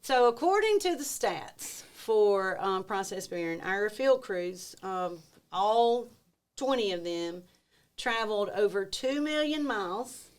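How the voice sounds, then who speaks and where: A woman's voice: mid-range voice, lively intonation, slow. A woman with a mid-range voice hosts an interview podcast. She talks in a friendly, conversational way and works out each question aloud as she asks it. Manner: friendly, thinks aloud while framing questions.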